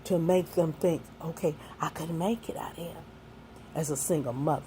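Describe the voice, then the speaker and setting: A woman in her sixties shares hard-won advice with young people in a folksy, heartfelt way, leaning on faith and plain talk. Her voice sits low and mellow. A faint hiss of noise runs under the recording. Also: fairly even intonation, moderate pace. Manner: earnest and folksy, mixing faith and street talk. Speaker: speaker giving life advice.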